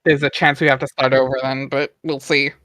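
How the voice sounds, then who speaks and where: Dry-sounding streamer reading aloud, mid-pitched voice. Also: little pitch movement, brisk, a bit bright, no room sound. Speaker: stream host and reader. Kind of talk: dramatic reading mixed with casual livestream chat.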